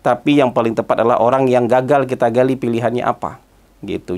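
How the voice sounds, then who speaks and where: A man with a stuffy, hoarse voice from a cold, talking through his commentary with lots of "ya" and "eh" fillers. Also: mid-range voice, darkish tone. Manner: conversational, frequent "ya" and "eh" fillers. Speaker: political commentator hosting his own channel. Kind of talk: solo spoken commentary.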